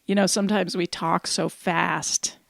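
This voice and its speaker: A woman's voice: on the low side, fairly even intonation, measured pace, gravelly, no echo. A middle-aged woman co-hosting a community radio show, chatting casually, rambling and laughing often. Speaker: radio co-host. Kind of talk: casual chat. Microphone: studio microphone.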